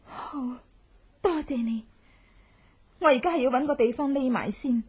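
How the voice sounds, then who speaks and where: High pitched revenge-driven widow, hong kong cantonese accent, melodramatic radio play, muffled old sounding audio. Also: female voice, normal speed, breathy edge. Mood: resolute, vengeful. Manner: intense, melodramatic. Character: vengeful widow in hiding, determined, desperate, posing as beggar. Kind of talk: Cantonese horror radio drama acting. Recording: muffled lo-fi radio drama recording.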